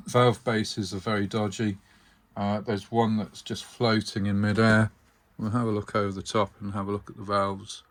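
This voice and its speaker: Low-voiced man, halting delivery. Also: a bit bright. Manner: hesitant, unscripted, halting phrasing. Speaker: radio collector presenting his collection. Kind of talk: casual hands-on demonstration vlog.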